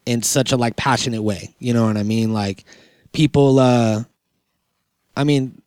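A man's voice: mid-range voice, steady tone, a little slow, a little mellow, clean tone, no echo. Casual male podcast host, close and dry. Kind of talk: casual chat.

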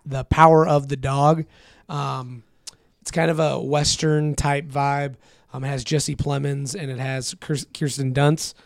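Hoarse, gravelly male co-host, eager casual talker, dry close sound. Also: mid-range voice, darkish tone, no echo. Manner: enthusiastic, many fillers. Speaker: podcast co-host. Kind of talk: casual chat.

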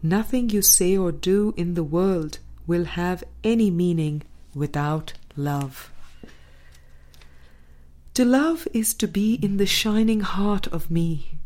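A woman reading the words of God as a calm, loving, wise teacher in a parable, solemn and tender. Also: fairly low pitch.

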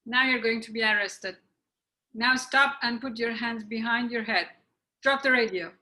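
A stern, commanding cop, played by a woman in a script reading. The voice is fairly high and bright, firm and insistent with every order. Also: normal speed, poor audio. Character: stern, insistent police officer giving orders. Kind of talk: screenplay table read.

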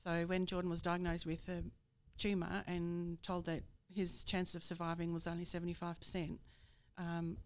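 A woman's voice: fairly low pitch, completely flat delivery, normal speed, hardly any pauses. A woman from the Netherlands, a guest talking openly about personal matters in a candid interview. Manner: candid, with light laughs and fillers.

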